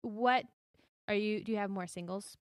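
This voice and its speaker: A woman's voice: medium pitch, normal speed, clean tone, no room sound, clean studio sound. Encouraging woman host asking questions. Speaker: podcast host. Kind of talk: casual interview.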